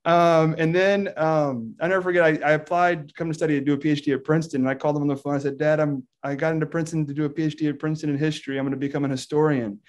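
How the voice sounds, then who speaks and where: A man in his thirties from Texas, a scholar talking as a guest on a video call, animated, laughing often and restarting his sentences. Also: fairly high pitch, rapid-fire, few pauses. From Texas, United States. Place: indoor room with plain wall. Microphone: video call webcam.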